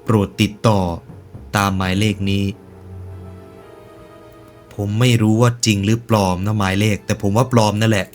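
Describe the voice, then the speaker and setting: A man's voice: on the low side, steady tone, normal speed, pauses often, faint music behind. A man hosting a reaction video, commenting casually in slangy speech with joking asides and stretches of narration. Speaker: YouTube reaction host.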